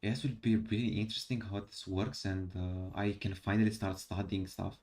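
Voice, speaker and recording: Man, Romanian accent, low-ish voice, tired, halting, casual gaming chat. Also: level tone, a little mellow. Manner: halting, many fillers. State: tired, late at night.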